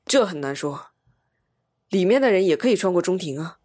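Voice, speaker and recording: An actress playing an eager, analytical young legal assistant in an audio mystery drama, earnest and reasoning. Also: female voice, on the low side, lively intonation, brisk, darkish tone. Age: young adult. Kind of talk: radio drama acting. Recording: music behind the voice.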